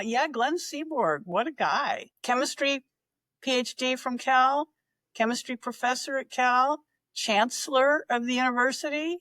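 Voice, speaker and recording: A woman's voice: high-pitched, fairly bright tone, no echo. A woman in her sixties, a regular contributor, telling a history story in a chatty, enthusiastic way. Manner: enthusiastic, chatty, with fillers and restarts. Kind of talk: casual storytelling.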